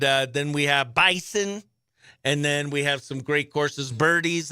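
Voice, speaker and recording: An American man hosting a morning radio show, chatting in an upbeat, effusive way with greetings and shout-outs. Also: fairly high pitch, clean tone, no room sound, studio quality. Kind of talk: chatty country radio show and livestream banter.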